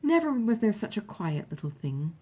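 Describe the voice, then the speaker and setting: A woman's voice: medium pitch, sing-song, normal speed, breathy edge, muffled recording. A woman reading as a doting, indulgent young mother in a storybook, fond and cooing. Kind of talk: acted dialogue in a storybook reading.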